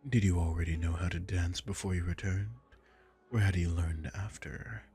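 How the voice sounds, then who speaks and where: A gravelly vampire lord. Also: male voice, steady tone, warm tone, soft background music, exaggerated voice. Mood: haughty. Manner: slow, low, menacing seductive murmur. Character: arrogant aristocratic male vampire lord. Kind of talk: ASMR audio roleplay.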